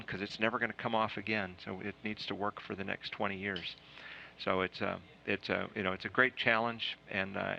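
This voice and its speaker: A lower-pitched man with a gravelly, bright-edged voice explains things casually, tripping over restarts and fillers. The sound is muffled and lo-fi, like an old broadcast feed. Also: a little hiss or hum. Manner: halting, lots of uh and repeated starts. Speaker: astronaut answering questions.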